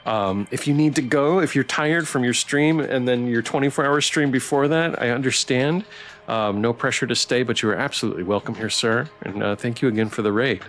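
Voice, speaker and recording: A man live-streaming a story game, switching between casual, playful, halting chat with viewers and reading narration aloud. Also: medium pitch, a bit bright. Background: background music.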